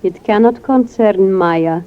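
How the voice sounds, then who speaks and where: An actress playing a weary, aloof, mysterious psychic with a formal, grave manner in 1940s-style radio drama. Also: female voice, mid-range voice, takes it slowly, close to an everyday voice. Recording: muffled vintage radio recording.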